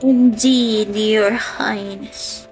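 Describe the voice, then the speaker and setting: A solemn royal healer, acted by a woman in a radio play, speaking slowly at a middling pitch. The delivery is formal, deferential and earnest, with faint music underneath. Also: steady tone, darkish tone. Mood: earnest, cautioning.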